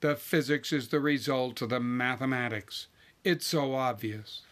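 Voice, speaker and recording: A man's voice: mid-range voice, a little slow, fairly bright tone, lightly acted. A man voicing a blunt, easily frustrated wife in a story, emphatic and insistent. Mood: forceful, insistent.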